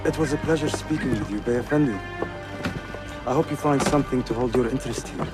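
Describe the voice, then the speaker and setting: An actor playing a polite, friendly young Ottoman gentleman scholar in a video game. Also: mid-range voice, quick pace, loud music behind the voice, close to an everyday voice. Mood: polite, amused. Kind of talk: video game cutscene acting.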